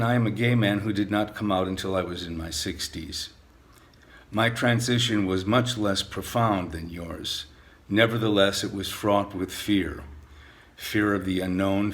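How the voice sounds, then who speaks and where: An older man reading aloud a personal letter of support in an earnest, measured way. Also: low voice, a bit bright. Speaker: letter writer.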